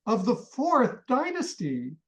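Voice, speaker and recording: A woman's voice: a little high, huge pitch swings, slow pace, full character voice. A woman playing a brusque, proud male antiquities collector in a radio play, clipped and insistent. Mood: proudly insistent.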